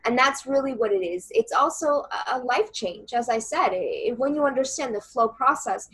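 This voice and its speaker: A woman channeler and coach with a loud voice, earnestly talking a listener through a writing meditation in a casual interview over a video call. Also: fairly high pitch, expressive, moderate pace, husky. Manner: earnest and reassuring, many fillers.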